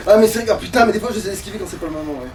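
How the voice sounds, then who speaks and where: A man chatting casually while he plays a game, slangy, sweary and quick to react. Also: sits high, darkish tone. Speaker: let's play gamer playing the game. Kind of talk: casual gaming chat while playing. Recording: echoey room, distant mic, faint noise.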